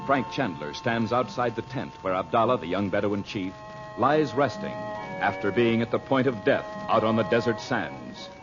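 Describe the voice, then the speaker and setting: A man's voice: fairly low pitch, expressive, moderate pace, music in the background. Theatrical vintage radio announcer man, swooping delivery. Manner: theatrical, polished, emphatic. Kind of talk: 1940s-style radio announcing, scripted narration. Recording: muffled vintage radio broadcast recording.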